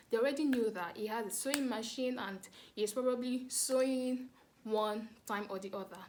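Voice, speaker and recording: A woman with a Nigerian accent telling a story casually to camera, animated and dramatic, repeating words for emphasis. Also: medium pitch. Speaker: vlogger telling a family story.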